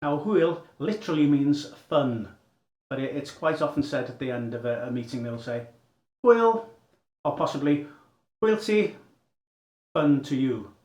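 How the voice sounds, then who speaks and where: Chatty man, higher voice, echoey room. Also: expressive, measured pace, pauses often, a little mellow. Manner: chatty and tentative, modelling phrases aloud. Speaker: language learner hosting a lesson series. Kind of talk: casual spoken lesson.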